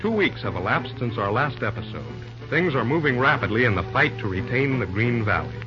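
A man's voice: medium pitch, lively intonation, fairly quick. A man reading scripted narration as the announcer of an old-time radio serial. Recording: muffled old radio broadcast. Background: prominent music.

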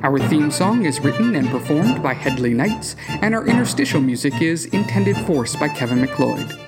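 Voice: medium pitch, very animated, moderate pace. An announcer reading scripted end credits for an audio drama. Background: loud guitar music.

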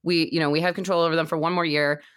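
A woman's voice: low-pitched, little pitch movement, racing, fairly bright tone, clean tone. A woman chatting casually and enthusiastically as a co-host of a baseball fan podcast. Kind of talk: casual chat.

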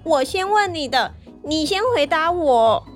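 A high-voiced, girlish young lady of the moon from a children's fairy tale. She is excitable and quick to take offence, drawing her words out slowly over music. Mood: puzzled, insistent. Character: young moon lady Chang'e, girlish, indignant, easily startled.

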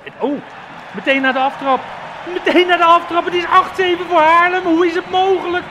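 A man with a high voice reports on a football match live on the radio. He speaks as a commentator from an outdoor ground, with some noise around him. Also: fairly even intonation, brisk, crowd noise.

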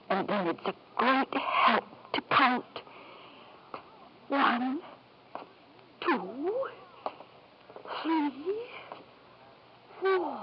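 An old, dull radio drama recording with faint noise carries a drunken wife. Her voice swoops widely as she drags out bitter, grief-soaked words with mocking formality. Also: fairly high pitch. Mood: drunken, faltering. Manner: slow, wandering, mock-formal speech with heavy pauses. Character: drunken, bitter, grieving wife of a titled man. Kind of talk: 1950s radio drama acting.

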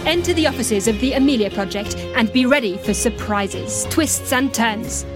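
A woman's voice: fairly high pitch, normal speed, loud music behind the voice. A woman narrating a scripted podcast promo in an inviting, playful way. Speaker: promo announcer.

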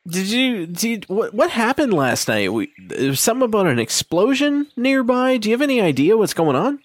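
A man's voice: sits high, big pitch swings, moderate pace, voice pushed up. A man playing a hesitant, easygoing sixteen-year-old village boy in a tabletop game, stammering politely through his words. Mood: anxious, curious.

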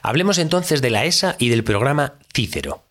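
A man's voice: mid-range voice, expressive, a little fast, a bit bright. A man playing a direct, insistent radio interviewer in a scripted audio drama, speaking. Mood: cordial, direct. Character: direct, probing male radio interviewer with no-nonsense questions. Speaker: podcast host interviewing an astronaut. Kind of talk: scripted fictional interview show.

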